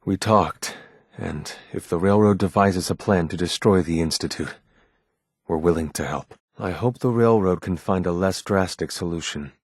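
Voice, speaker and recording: Deep, husky android rebel leader. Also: male voice, no echo, clean studio sound. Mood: grave, determined. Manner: formal, measured, grave. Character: guarded, earnest male android rebel leader. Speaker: video game voice actor. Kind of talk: scripted game character dialogue.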